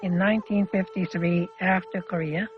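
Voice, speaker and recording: Low-voiced woman, muffled with music. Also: steady tone, moderate pace. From Canada. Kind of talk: reflective personal recollection. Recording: muffled audio with music behind.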